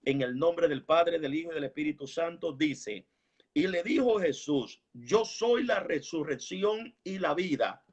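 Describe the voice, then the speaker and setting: A man's voice: a little high, animated, a little slow, husky. A man hosting a religious program in a devout manner, with exclamations of praise, heard through dull, lo-fi sound. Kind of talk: religious hosting, prayer and scripture reading.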